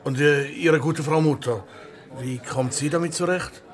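An actor playing a reserved, awkward insurance clerk who speaks tersely in a radio play. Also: male voice, on the high side, a little slow, natural voice. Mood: awkward, polite. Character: stiff, reserved, protective male insurance clerk. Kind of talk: naturalistic radio play dialogue.

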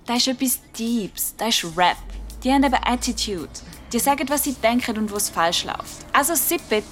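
A woman's voice: on the high side, expressive, moderate pace, soft background music, lightly acted. A woman playing an exasperated, cool teenage older sibling in a children's radio play, with a Swiss German accent and slangy, scolding talk.

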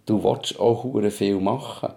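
A man's voice: fairly low pitch, fairly even intonation, unhurried, gravelly, warm tone. A young man co-hosting a podcast in casual conversation. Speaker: podcast co-host.

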